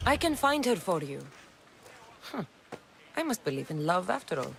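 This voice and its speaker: An actress playing a tough ancient Greek mercenary in a video game, with a dry, sardonic manner. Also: female voice, fairly low pitch, big pitch swings, moderate pace, gravelly, fairly bright tone, a little hiss or hum, full character voice. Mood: wry, skeptical. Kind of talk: video game cutscene acting.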